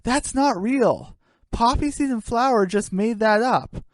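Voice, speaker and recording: A woman's voice: a little high, lively intonation, unhurried, no room sound. A woman voicing an exasperated, sensible female chicken, weary and scolding.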